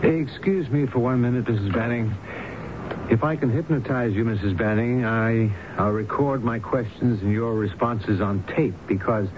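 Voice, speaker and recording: An actor playing a calm, probing doctor in a radio drama, questioning in a measured, professional way. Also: male voice, mid-range voice, close to an everyday voice. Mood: measured, cautious. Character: calm, probing male doctor hypnotizing his patient. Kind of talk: 1970s radio drama acting. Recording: dull, very noisy old radio broadcast.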